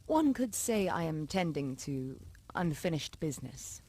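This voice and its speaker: A woman's voice: low-pitched, big pitch swings, measured pace, mellow, exaggerated voice. A woman playing a haughty, formal vampire noblewoman in a video game. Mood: poised, inquiring. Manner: formal, ornate phrasing.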